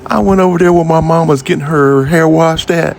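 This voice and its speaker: A man's voice: on the high side, fairly even intonation, fairly quick, gravelly, a little mellow, a little hiss or hum. A man in his fifties with a Southern American accent, telling a personal story casually and talking straight to his viewers in a folksy, conversational way. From Jacksonville, United States. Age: 50s. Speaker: vlogger. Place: outdoors by an old building near a highway.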